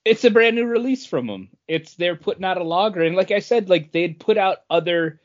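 A man's voice: high-pitched, expressive, brisk. A man co-hosting a podcast, giving blunt opinions in casual chat. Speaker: podcast co-host. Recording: dull, lo-fi audio.

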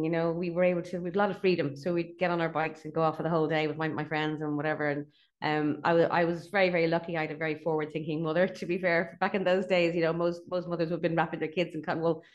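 A woman's voice: lowish voice, highs cut off. A middle-aged Irish woman, a company chief, chatting casually as an interview guest and laughing between points. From Ireland (Dublin).

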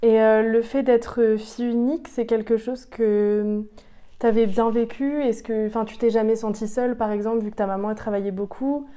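Mellow-voiced woman podcast host. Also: on the high side, steady tone, moderate pace, clean tone. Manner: gentle, probing questions. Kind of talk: interview questions and scripted intro.

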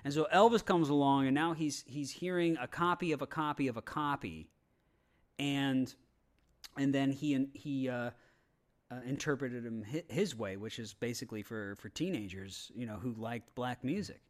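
A man in casual, opinionated podcast talk, halting and rambling, with frequent swearing. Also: medium pitch. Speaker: podcast panelist.

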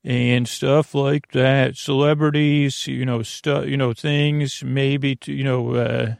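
A man with a creaky, gravelly voice at a middling pitch talks as a podcast host in a bedtime monologue. He meanders and halts, drifting off into tangents and leaving thoughts unfinished. The sound is close and dry. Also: little pitch movement, no echo. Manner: meandering, halting, trails off into tangents.

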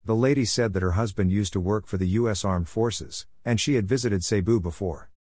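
A man reads a personal essay as scripted narration. His voice sits on the low side, and the sound is dry, with very little room in it. He works as the narrator of an opinion column. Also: brisk, darkish tone, no echo.

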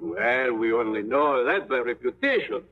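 A man's voice: medium pitch, expressive, normal speed, natural voice. A man playing a proud museum curator. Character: polite male museum curator. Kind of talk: 1950s radio drama acting. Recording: tinny old radio broadcast.

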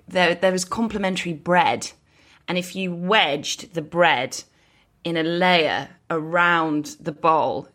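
Low-pitched British woman hosting a podcast. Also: a bit bright. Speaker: podcast host. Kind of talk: casual conversational podcast chat.

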